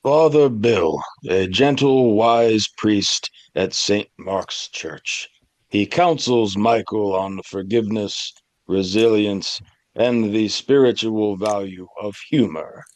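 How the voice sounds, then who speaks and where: A man's voice: fairly low pitch, slow pace, no room sound. A man reading scripted character introductions for an audio play.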